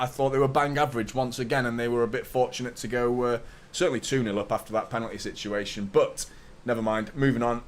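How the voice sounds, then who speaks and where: A man in his thirties with a slightly hoarse voice, hosting a live football fan stream, chatting to viewers and ranting with strong opinions. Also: mid-range voice. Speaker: host of a football fan watchalong. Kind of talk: live stream chat and commentary.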